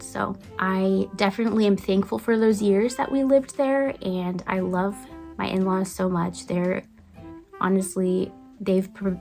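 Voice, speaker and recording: A woman's voice: mid-range voice, gravelly. Chatty young woman, faint Brazilian accent. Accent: slight Brazilian. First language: Brazilian Portuguese. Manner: chatty and upbeat, with light laughs and small restarts. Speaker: lifestyle vlogger. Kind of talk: casual get-to-know-me chat. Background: faint guitar music.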